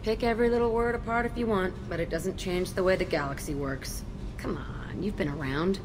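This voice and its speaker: A woman's voice: on the low side, brisk, noisy background, close to an everyday voice. An actress playing a tough, cynical young female ex-convict in a video game, curt, abrasive and sardonic. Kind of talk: video game character acting.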